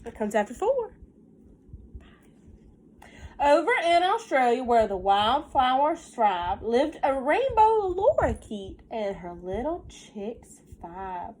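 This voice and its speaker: A woman reading a picture book aloud to young children like a teacher, in an expressive sing-song way with simple questions and asides. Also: on the high side, takes it slowly, slight background noise.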